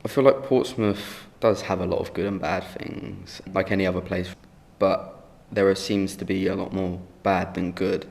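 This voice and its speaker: A 19-year-old man, a care leaver speaking haltingly with fillers in personal testimony. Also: sits low, fairly even intonation, gravelly, a little mellow. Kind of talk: personal testimony for a short film.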